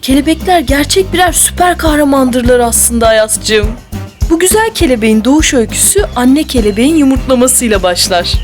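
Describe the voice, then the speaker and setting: An actress playing a gentle, patient mother in a children's cartoon, explaining nature expressively like a storyteller. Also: high voice, lively intonation, brisk. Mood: storytelling wonder. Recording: music playing behind the voice.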